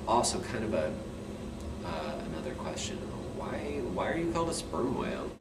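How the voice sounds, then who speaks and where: Deep voiced guy hosting a puppet show, hesitant n casual, far from the mic, loud noise. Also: big pitch swings, moderate pace. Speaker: talk show host. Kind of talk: puppet show mock interview. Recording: roomy, distant mic, loud hum.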